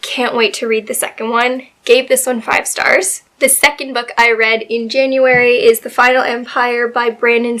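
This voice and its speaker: A woman getting over a cold, her voice a little raspy, chatting casually and gushing about books she loved, with lots of fillers. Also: on the high side, fairly bright tone. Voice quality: slightly raspy. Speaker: book video host. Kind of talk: casual book review chat.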